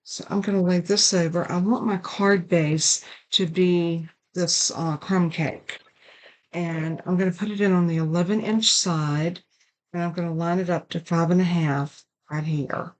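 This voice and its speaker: An older woman, raspy and hoarse from a sinus infection, hosting a casual card-making tutorial at her craft desk. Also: on the low side, fairly even intonation, measured pace. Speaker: card-making channel host.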